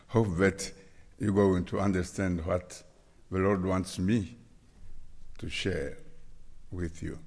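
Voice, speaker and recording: A man from the Democratic Republic of the Congo with a Congolese accent, preaching a sermon as a guest, slow and deliberate. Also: sits low, steady tone, creaky, darkish tone, slight background noise. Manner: slow, deliberate, spells out words. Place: church sanctuary. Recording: church hall reverb.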